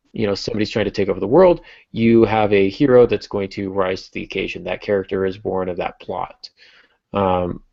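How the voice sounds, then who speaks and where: Low, creaky-voiced man in casual podcast discussion. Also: darkish tone, no room sound, dull audio. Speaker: podcast host and novelist.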